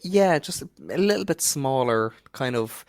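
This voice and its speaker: A man's voice: mid-range voice, expressive, moderate pace, no room sound, clean studio sound. A man co-hosting a football podcast, giving his opinions in casual, conversational talk.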